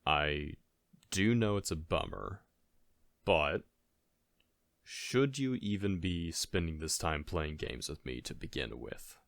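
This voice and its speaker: A man playing a caring, goofy best friend in a soft-spoken ASMR audio roleplay, talking in a halting, gently chiding, conversational way. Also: extremely low pitch, animated, vocal fry, no echo, exaggerated voice. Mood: concerned, chiding.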